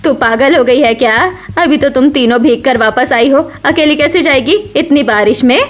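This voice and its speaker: A woman's voice: high-pitched, normal speed, natural voice. A woman voicing an elderly, worried mother-in-law who scolds with care in a family cartoon. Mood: scolding.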